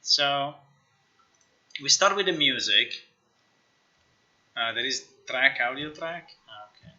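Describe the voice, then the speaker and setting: Sharp, bright-voiced man thinking aloud, slow-paced. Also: mid-range voice, animated. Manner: halting, musing, talks to himself. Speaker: game developer live-streamer. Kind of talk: casual live screen-share commentary, thinking aloud. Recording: slightly dull, lo-fi stream audio.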